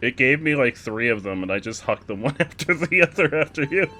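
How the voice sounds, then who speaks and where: A young man casually commenting on a kart race while chatting with his viewers, excitable and quick to laugh. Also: medium pitch, slight background noise. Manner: excitable, giggly, chats with viewers. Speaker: streamer.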